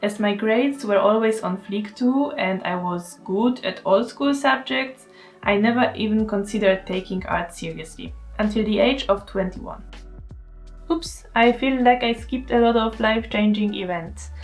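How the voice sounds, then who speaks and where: A woman's voice: mid-range voice, measured pace, soft background music. A woman in her twenties from Poland with a Polish accent, narrating her story as an artist in a candid, self-deprecating way. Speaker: artist vlogger. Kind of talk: scripted voice-over narration.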